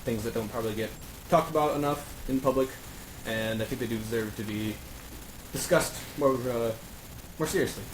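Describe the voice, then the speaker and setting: A man's voice: mid-range voice, moderate pace, long pauses. A man hosting a casual round-table chat among friends. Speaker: host of a round-table discussion show. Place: echoey room with a group of friends. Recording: roomy echo with clear background noise.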